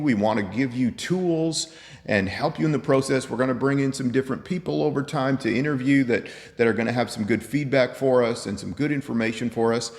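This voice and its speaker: A man in his fifties hosting a show in a casual, conversational and motivational way. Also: mid-range voice. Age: 50s. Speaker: host. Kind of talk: casual chat to camera. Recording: echoey room with long reverb.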